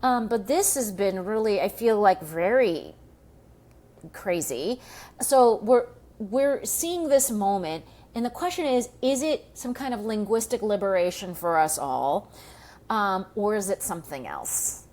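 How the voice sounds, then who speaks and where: A woman comedian hosting a casual comedic panel chat, talking quickly and swearing freely. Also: medium pitch, lively intonation, a little mellow. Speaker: comedian and podcast host.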